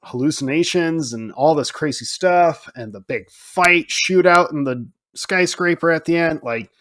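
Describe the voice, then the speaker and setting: A middle-aged man hosting a podcast, chatting casually and emphatically about films and games, with animated delivery and free swearing. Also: a little high, unhurried. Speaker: podcast host. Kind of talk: casual film and game chat.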